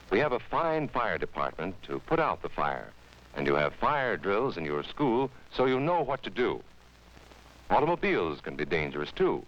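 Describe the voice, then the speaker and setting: Deep-voiced American man, 1950s educational film narrator. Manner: instructive, emphatic, addressing children directly. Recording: dull vintage 1950s film soundtrack.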